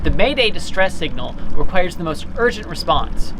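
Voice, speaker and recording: A man's voice: on the high side, sing-song, moderate pace, noisy background. A man in his thirties explaining procedures methodically, step by step, as an instructor recorded outdoors by the water. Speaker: boat club operations director giving instruction. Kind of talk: instructional demonstration. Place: outdoors by the water, at a dock or on a boat.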